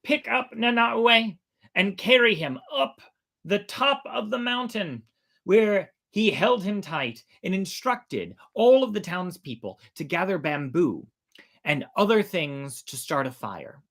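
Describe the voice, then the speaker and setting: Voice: medium pitch, sing-song, slow pace, a bit bright. A storyteller hosting a show, telling folk tales informally in a playful, conversational way.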